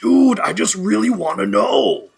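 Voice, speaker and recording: Man acting an excitable, raspy, high-voiced guy. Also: measured pace, heavily acted. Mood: nosy, pushy. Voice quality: gravelly, raspy. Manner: incredulous, theatrical. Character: excitable, nosy, dramatic young male friend. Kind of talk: amateur audio drama acting.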